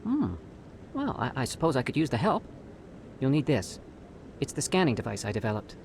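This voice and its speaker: A man's voice: medium pitch, big pitch swings, normal speed, gravelly, a little mellow, slight background noise. A man playing a nervous, earnest scientist in a video game, with a hesitant, guarded way of talking. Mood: cautious. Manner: hesitant, stammering, evasive.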